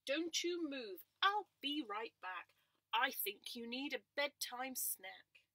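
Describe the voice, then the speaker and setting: A woman's voice: high voice, sing-song, slow pace, bright, forceful tone, dry recording, voice pushed up, full character voice. A woman voicing a bustling, cheerful female pig who talks in a chant-like way.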